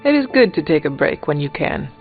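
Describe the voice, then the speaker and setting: Loyal earnest warrior, formal plain speech, slightly high voice, video game dialogue, muffled sound. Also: male voice, very animated, a little fast, gravelly. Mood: earnest, reassuring. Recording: muffled game audio under music.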